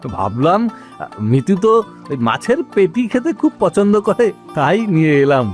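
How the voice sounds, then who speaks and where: A man's voice: on the high side, big pitch swings, moderate pace. A man playing an eager, affectionate young son-in-law in a cartoon family story, with music behind him. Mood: pleasing, affectionate.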